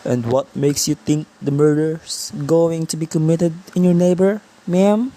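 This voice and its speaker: A woman's voice: sits low, fairly even intonation, measured pace, dark tone. A woman playing a weary, dismissive police officer in a radio play, patronizing and stolid as the officer takes a call. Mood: skeptical, patronizing.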